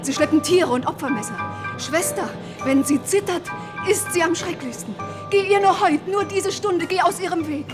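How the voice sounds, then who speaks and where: An actress playing a frightened young sister in a theatrical radio tragedy, imploring with urgent, anxious declamation. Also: female voice, very high voice, moderate pace, music in the background. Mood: pleading.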